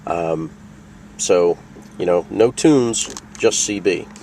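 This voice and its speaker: A man's voice: low-pitched, slow pace, dark tone, distant mic, slight background noise, rough recording. A man hosting a casual product tutorial, explaining things plainly and step by step. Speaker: video host demonstrating a radio.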